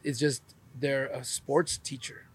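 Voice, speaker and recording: A man's voice: mid-range voice, little pitch movement, takes it slowly, husky. A man interviewing a guest, asking probing follow-up questions and reacting with enthusiasm. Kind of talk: casual interview.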